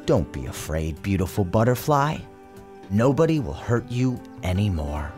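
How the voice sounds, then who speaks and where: A man voicing a gentle, compassionate magician in a storybook, speaking earnestly and tenderly. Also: lowish voice, big pitch swings, normal speed. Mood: tender, soothing. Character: gentle, compassionate male magician pleading and soothing. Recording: music behind the voice.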